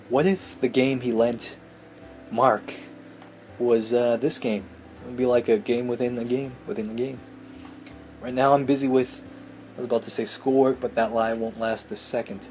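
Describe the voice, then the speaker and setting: Mellow-voiced man narrating a game playthrough. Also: medium pitch, steady tone, unhurried, long pauses, a little hiss or hum. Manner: irreverent, sweary. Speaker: let's play host. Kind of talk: reading visual novel aloud with casual commentary.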